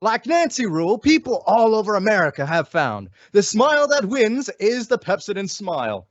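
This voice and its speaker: High-pitched, lively male radio announcer. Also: moderate pace, no echo. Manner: polished salesman's delivery. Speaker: commercial announcer. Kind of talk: old-time radio advertisement, scripted. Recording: dull lo-fi radio-style recording.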